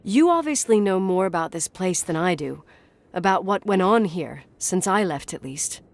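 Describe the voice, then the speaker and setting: A woman's voice: fairly low pitch, expressive, normal speed. An actress playing a stubborn, inquisitive young woman in naturalistic game dialogue, suspicious and uneasy, probing earnestly with some hesitation. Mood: serious, confronting.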